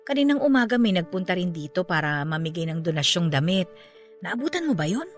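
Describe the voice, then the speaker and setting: An actress playing a middle-aged aunt in a radio drama, speaking calmly and matter-of-factly. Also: female voice, lowish voice, brisk. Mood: somber. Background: faint background music.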